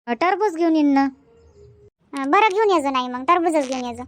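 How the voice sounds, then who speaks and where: A woman's voice: high voice, lively intonation, fairly quick. A woman voicing a chatty village mother in a cartoon comedy, speaking colloquial Varhadi Marathi in a homely way. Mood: pleading, insistent. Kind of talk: cartoon comedy dubbing, everyday family chat.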